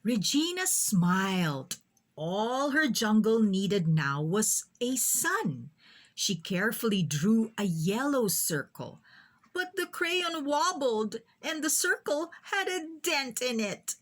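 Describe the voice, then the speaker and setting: Brief: female storybook reader, higher-pitched, very animated, varied intonation, unhurried. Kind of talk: expressive children's picture book read-aloud.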